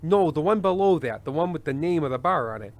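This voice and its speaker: Male actor as worried showbiz veteran, highish voice, expressive swings, fretful, world-weary, radio play. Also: no room sound, lightly acted. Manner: fretful, halting. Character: anxious, world-weary male TV show host. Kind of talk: old-time radio drama acting.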